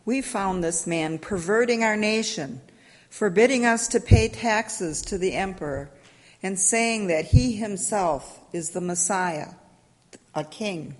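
A woman's voice: medium pitch, slow pace. A woman playing a stern, accusing council elder in a dramatic reading of scripture. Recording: echoey church hall, long reverb.

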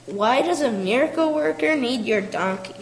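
A woman's voice: high voice, lively intonation, normal speed. A woman playing an inquisitive, sceptical villager with a pointed, questioning delivery in an amateur church drama, in a noisy echoing hall. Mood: sceptical, curious. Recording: echoey hall with background noise.

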